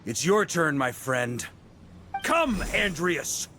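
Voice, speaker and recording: A man's voice: fairly high pitch, big pitch swings, slow pace, pushed and bright, a little hiss or hum, exaggerated voice. An actor playing a confident, commanding veteran male knight commander in a video game. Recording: game audio over music.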